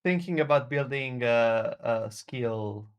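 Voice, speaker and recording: A man's voice: medium pitch, lively intonation, takes it slowly, smooth. A man co-hosting a tech podcast, wry and laughing a lot. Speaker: co-host. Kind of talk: casual tech podcast chat.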